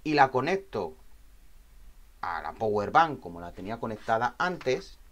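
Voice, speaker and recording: A man's voice: medium pitch, sing-song, measured pace, loud music behind the voice. A man instructing in an informal, explanatory tutorial style, often checking in with short tag questions. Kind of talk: informal spoken screen tutorial.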